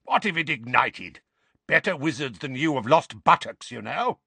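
Man playing a grizzled old wizard, gruff, paranoid, growling, barking lines, storybook audiobook. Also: medium pitch, sing-song, moderate pace, bright, forceful tone, no echo, voice pushed up, exaggerated voice. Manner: growling, irritable. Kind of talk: audiobook character voice.

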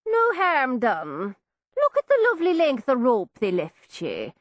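A woman's voice: sits high, huge pitch swings, measured pace, no room sound, exaggerated voice. A woman voicing a genial male Irish constable, lilting and coaxing. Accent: Irish, put on.

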